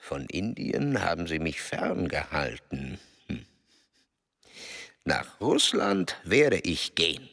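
Radio drama role: elderly male explorer-scientist, fatalistic and oratorical, slow with strong melodic swings, long reverberation. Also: low-pitched, big pitch swings, vocal fry, a bit bright, full character voice. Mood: restless. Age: older. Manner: measured, formal, oratorical pauses. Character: ageing male explorer-scientist, grave, fatalistic, restless to travel. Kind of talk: German radio play acting.

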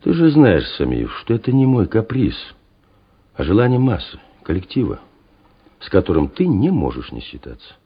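An impassioned, husky low-voiced army commissar. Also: male voice, wildly swooping pitch, moderate pace, heavily acted. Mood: insistent, persuasive. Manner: urgent, persuasive, rhetorical. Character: earnest, insistent male Soviet army political officer. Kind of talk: Soviet radio drama acting. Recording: muffled old radio play recording.

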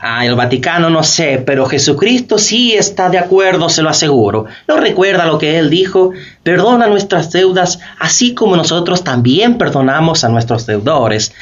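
An actor playing a warm, devout cardinal of the poor, earnest and preacherly, in a radio drama interview. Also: male voice, on the high side, big pitch swings, normal speed, few pauses, dull audio. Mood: earnest joy.

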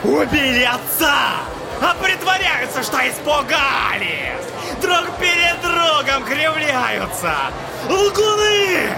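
A high-pitched, feverish, tormented witness. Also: male voice, exaggerated voice. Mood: frenzied contempt. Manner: agitated, sardonic, erratic. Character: feverish, tormented man testifying in court, bitterly defiant. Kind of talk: theatrical audio drama acting. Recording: dramatic music behind the voice. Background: music.

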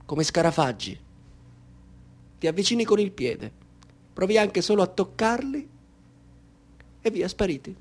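An actor playing a polite, soft-spoken stranger in a radio drama who talks in a calm, measured way with a hidden menace. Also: male voice, fairly high pitch.

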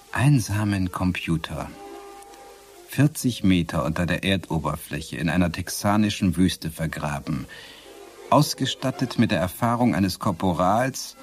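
An actor playing a wistful, bookish man who recounts his past in a reflective, literary way in a radio play. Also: sits low. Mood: pensive. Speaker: actor playing the first-person narrator.

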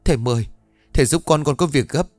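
A man's voice: medium pitch, moderate pace, a little mellow, faint music behind, pitched above the performer's own voice, lightly acted. A man voicing an anxious, distraught older mother in a horror story, pleading and wailing. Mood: urgent pleading.

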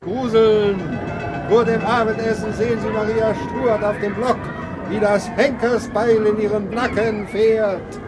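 High-voiced showy fairground barker. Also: male voice, level tone, measured pace. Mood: grandly enticing. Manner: declaiming, theatrical sales patter. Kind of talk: 1960s German radio play acting. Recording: 1960s radio play, fairground music and crowd.

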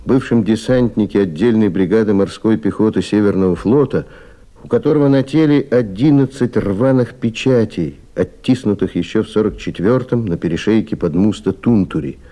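A man narrating a radio play in a deliberate, weighty, expressive literary style. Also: fairly low pitch.

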